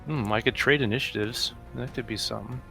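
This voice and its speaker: A man's voice: mid-range voice, fairly even intonation, brisk, gravelly, pushed and bright, soft background music. A man playing a tabletop game, chatting casually and thinking tactics through aloud.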